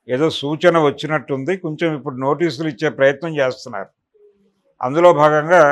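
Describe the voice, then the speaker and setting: A man giving extended spoken news analysis as a political commentator, explaining and recounting in detail. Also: fairly high pitch.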